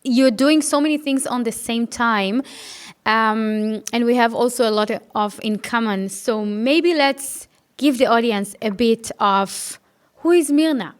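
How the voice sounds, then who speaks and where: A woman's voice: on the high side, measured pace, clean tone. A woman from Israel hosting a talk show, chatting eagerly with her guest and often restarting her sentences. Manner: enthusiastic, with frequent restarts and fillers. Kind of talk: casual interview chat.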